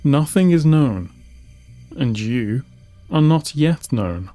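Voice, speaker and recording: A man giving a solemn, deliberate audiobook narration of science-fiction lore, with dramatic pauses between short phrases. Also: medium pitch, animated, warm tone. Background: music.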